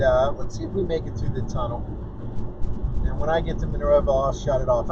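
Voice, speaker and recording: A man from Pittsburgh hosting a casual vlog while driving, chatty and rambling as he talks to his viewers, losing his voice. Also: fairly high pitch. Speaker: vlog host. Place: in a car on a highway. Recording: muffled, distant, loud road noise. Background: car and road noise.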